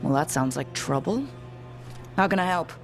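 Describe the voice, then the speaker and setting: A woman's voice: low-pitched, animated, moderate pace, faint music behind. An actress playing a capable, determined young woman who leads adventurers in a fantasy video game, wry and matter-of-fact. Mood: urgent, determined.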